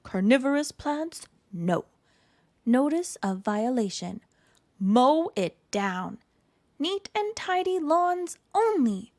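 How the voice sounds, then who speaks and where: A woman's voice: sits high, big pitch swings, slow, a little mellow, dry recording, exaggerated voice. A stern, fussy official voice put on by a woman issues warnings in a storybook. The phrases are clipped and full of disapproval. The sound is dry and close. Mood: stern, scandalized. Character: stern, forbidding official notices from a fussy club.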